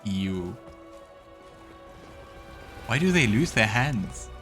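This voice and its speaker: A man's voice: lowish voice, big pitch swings, drawn-out words, fairly bright tone. A man giving casual let's play commentary and narrating scenes from a fantasy game. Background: game music.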